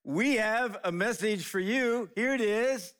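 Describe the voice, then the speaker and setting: A man's voice: high voice, a little slow, a bit bright, clear, resonant tone. A man hosting a live church service, upbeat and rallying the crowd. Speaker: church host.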